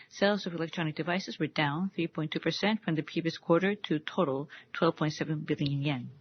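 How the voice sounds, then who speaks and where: A woman giving a formal financial results presentation, reciting figures in a careful, measured way. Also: sits low. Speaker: conference call presenter. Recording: dull, muffled conference call audio.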